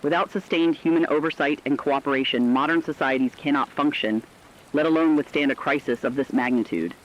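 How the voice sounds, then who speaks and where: A man voicing a serious news correspondent in a dystopian radio broadcast, reading formal, measured scripted reports. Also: on the high side, dull audio, natural voice.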